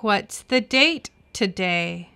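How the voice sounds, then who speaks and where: A woman reads English practice dialogues for a children's lesson. Her voice is bright and very clean, and she takes each phrase slowly with careful enunciation. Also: mid-range voice, lively intonation, vocal fry, clean tone. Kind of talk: slow scripted language-lesson reading.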